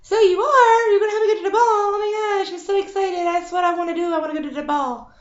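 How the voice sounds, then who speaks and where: A woman's voice: sits high, unhurried, voice pushed up. A woman voicing a self-pitying young maiden who whines and sobs over her hard life, in an echoey room. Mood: excited. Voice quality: whiny, weepy. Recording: echoey, slightly dull recording.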